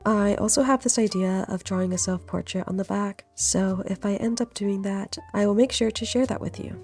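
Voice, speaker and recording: A woman's voice: mid-range voice, fairly even intonation, normal speed, darkish tone, faint music behind. A young woman with a cold, sounding stuffy and nasal, chatting easily through a vlog voiceover. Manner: chatty, easygoing, laughs while talking. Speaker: vlogger. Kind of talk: casual voiceover narration. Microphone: studio voiceover mic.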